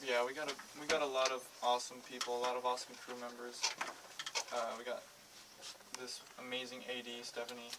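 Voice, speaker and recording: A man's voice: medium pitch, monotone, a little fast. A man who edits and directs films, answering interview questions in a hesitant way with many fillers. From United States. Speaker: film editor and director guest. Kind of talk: casual interview chat. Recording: distant mic with clear noise.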